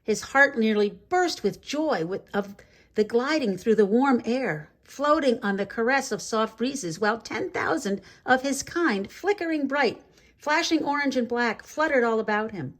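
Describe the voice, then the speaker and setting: Expressive woman reading a children's story. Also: fairly high pitch, a little slow. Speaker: storybook reader. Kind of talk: expressive read-aloud children's story narration.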